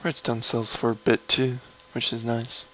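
A young man with a low voice, rambling casually through gameplay commentary at his computer. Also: level tone, pushed and bright, a little hiss or hum, poor audio. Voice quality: low, gravelly voice. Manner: slow, mumbly and rambling, trailing off. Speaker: gamer streaming a mining session.

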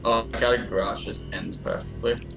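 A man's voice: on the low side, fairly even intonation, moderate pace, gravelly. Jittery young man laughing through a horror game. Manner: nervous, laughing a lot, frequent "like" and "oh my god". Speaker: gamer playing with friends. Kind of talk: casual chat over game. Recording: game music behind.

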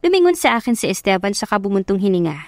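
A woman's voice: medium pitch, sing-song, fast, no echo, clean studio sound. A woman reading a scripted first-person love story as an audiobook narrator.

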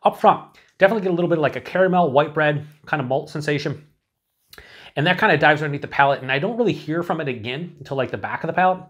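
Husky-voiced man reviewing a beer. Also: a little high, rapid-fire. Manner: chatty, thinking aloud with many fillers and restarts. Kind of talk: casual beer review to camera. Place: small indoor room with shelves of beer cans.

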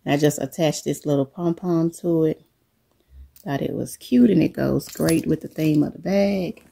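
Middle aged woman w a cold, gravelly and mellow, chatting thru her purse stuff at home. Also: low-pitched. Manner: relaxed, unhurried, addresses viewers as y'all. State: coming down with a cold. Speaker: vlogger. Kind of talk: casual show-and-tell chat. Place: living room, indoors.

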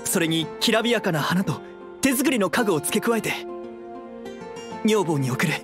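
A man's voice: high-pitched, animated, moderate pace. Sheepish anime townsman who likes his drink, apologetic, voice goes up and down, music behind. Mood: bashful. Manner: hesitant, apologetic. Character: sheepish tavern-loving husband, apologetic townsman. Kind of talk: anime game voice acting.

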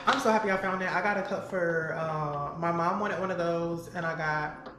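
A woman vlogging a grocery haul in her home kitchen, talking in an animated, playful way with lots of slang and repeated catchwords, filmed by a camera a few steps away. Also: on the low side, normal speed, slight background noise. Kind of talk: excited casual vlog, grocery haul. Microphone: camera on the counter, a few steps away. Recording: echoey kitchen with music behind.

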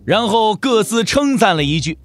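A man's voice: sits high, sing-song, normal speed, soft background music. A man narrating a scripted Chinese wuxia audio drama as storyteller.

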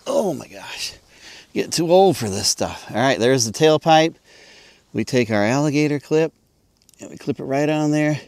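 A man's voice: medium pitch, expressive, moderate pace, darkish tone. An older man gives a casual, hands-on narration. His delivery is folksy and easygoing, in a mid-range voice. The sound is dry, with very little echo. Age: 50s or 60s. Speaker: amateur radio vlogger. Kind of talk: casual narrated field demonstration. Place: outdoors in an open farm field and inside a parked car.

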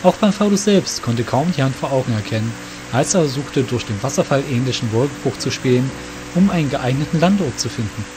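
A man's voice: medium pitch, lively intonation, fairly quick, music in the background. A man narrating a scripted fantasy audio story in a descriptive storytelling manner.

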